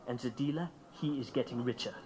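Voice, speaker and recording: A man's voice: mid-range voice, brisk, a little mellow, close to an everyday voice. A man playing a young immigrant anarchist in an audio drama, taunting and intense, speaking simple broken English. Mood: bitter. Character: young fiery German immigrant anarchist bomb-maker, reckless and bitter.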